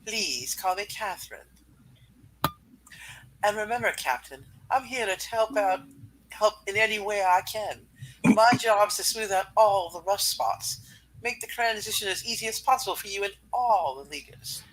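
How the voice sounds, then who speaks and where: Man as high-pitched, helpful female embassy chief. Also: normal speed, fairly bright tone, low-quality recording. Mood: reassuring. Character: reassuring, polite female embassy chief, eager to help. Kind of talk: amateur comic book dramatic reading.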